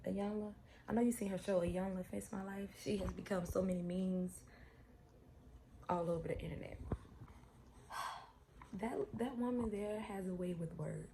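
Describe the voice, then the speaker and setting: A woman's voice: mid-range voice, creaky, dark tone, a little hiss or hum. A woman, chatting casually and heartfelt in a vlog, rambling and repeating herself. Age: 20s. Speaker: vlogger.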